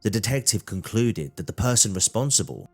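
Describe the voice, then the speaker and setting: A man narrating a true crime story from a script, in a measured documentary style. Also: lowish voice, sing-song, soft background music.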